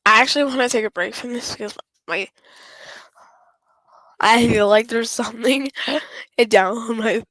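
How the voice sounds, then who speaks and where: A woman streaming a game, her voice hoarse and going raspy, rambling and often apologizing. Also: on the high side, measured pace, long pauses, fairly bright tone, no room sound, low-quality recording. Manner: rambling, apologetic, self-interrupting. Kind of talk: casual gameplay stream chat.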